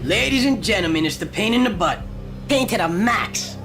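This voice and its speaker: An actor playing a swaggering mobster thug who mocks a visitor. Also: male voice, sits high, expressive, moderate pace. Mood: mocking. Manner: mocking, swaggering tough-guy talk. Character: sneering male mobster thug, one of two brothers. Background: music.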